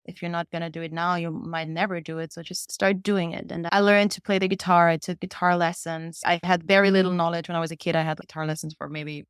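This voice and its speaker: A woman's voice: on the low side, steady tone, fast talker, hardly any pauses, clear, resonant tone, no echo, studio quality. A woman, answering interview questions in an earnest, candid way and telling her own story. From Vienna, Austria. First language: German. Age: 20s. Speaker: podcast guest, singer-songwriter.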